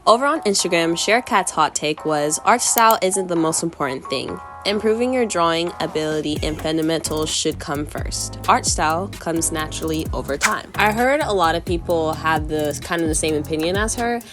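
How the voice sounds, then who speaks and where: A woman's voice: low-pitched, lively intonation, normal speed, hardly any pauses. A woman art YouTuber chatting casually while sketching, chatty and upbeat with lots of fillers. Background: background music.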